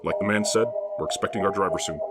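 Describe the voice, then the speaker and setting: An actor playing a genial, fatherly older man who talks briskly and warmly. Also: low-pitched, over loud music, close to an everyday voice.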